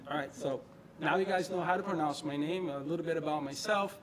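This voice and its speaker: A man's voice: a little high, fairly quick, a loud crowd, low-quality recording. A middle-aged man from Brazil and New Jersey gives an informal tech talk in a conversational, emphatic way, repeating phrases for stress. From born in Brazil, grew up in northern New Jersey, US. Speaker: presenter, quality engineering manager. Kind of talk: informal tech meetup presentation. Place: meeting room presentation before an audience.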